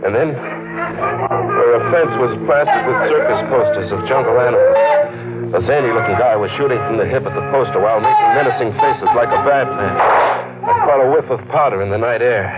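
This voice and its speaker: A man's voice: mid-range voice, brisk, natural voice. Guy doing a hunted man narrating, tense and urgent, old muffled radio, music behind. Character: hunted desperate male narrator hero. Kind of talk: 1940s radio acting.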